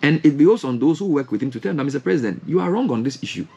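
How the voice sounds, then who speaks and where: A man's voice: on the high side, racing, gravelly, slightly rough, dull audio. A young man with a Ghanaian English accent, a radio host in a studio, giving impassioned commentary full of rhetorical questions. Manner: animated, rhetorical questions, gesturing hands. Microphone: studio mic with headphones.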